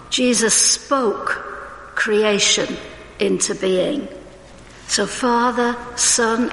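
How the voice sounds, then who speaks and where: A woman preaching a sermon in a church, conversational and reading scripture slowly, suffering from hay fever. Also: mid-range voice, fairly bright tone. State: hay fever, may cough. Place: church hall. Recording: roomy church with long reverb.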